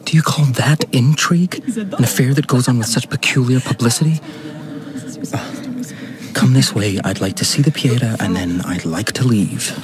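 An actor playing a stiff, earnest young gentleman in a radio drama, speaking in a formal, measured way. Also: mid-range voice, animated, background noise, close to an everyday voice. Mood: reserved.

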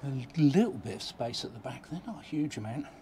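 A man's voice: mid-range voice, lively intonation, fairly quick, dark tone. A man, giving a casual walkaround of a motorhome at a show, thinking aloud as he goes. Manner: chatty, thinking aloud, mild remarks. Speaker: motorhome vlogger. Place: inside a motorhome at an indoor trade show. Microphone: handheld camera mic. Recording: noisy exhibition hall.

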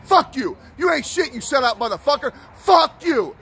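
A man with a very high, sharp voice hurls shouted, profane taunts in a heated confrontation. He is recorded on a phone camera as he films the exchange. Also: lively intonation, normal speed. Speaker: activist filming a confrontation.

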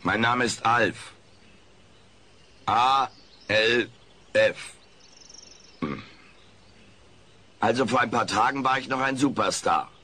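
An actor playing a wisecracking, vain, washed-up alien comedian in a comic radio play, with a sarcastic, self-important manner. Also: male voice, mid-range voice, takes it slowly, pauses often, bright, forceful tone, slightly rough, heavily acted. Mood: indignant.

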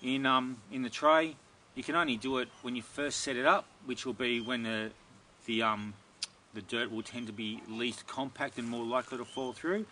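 A middle-aged man answering viewer questions about his garden in a relaxed, rambling way, recorded outdoors in a backyard. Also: medium pitch, normal speed, a little hiss or hum. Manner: relaxed and rambling, many ums and restarts. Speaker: gardening video host. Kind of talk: casual Q&A, reading viewer questions.

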